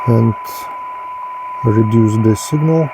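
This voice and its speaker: A man's voice: mid-range voice, takes it slowly, noisy background. A man narrating a hands-on radio equipment test as a reviewer, speaking in short clipped phrases. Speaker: product reviewer.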